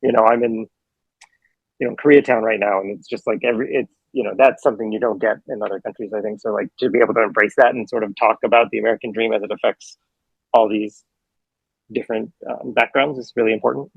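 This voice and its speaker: Rambling man with a gravelly, muffled voice. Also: lowish voice, steady tone, fast, dry recording. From Hawaii. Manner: rambling, filler-heavy, self-correcting. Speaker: film director. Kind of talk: casual interview answers.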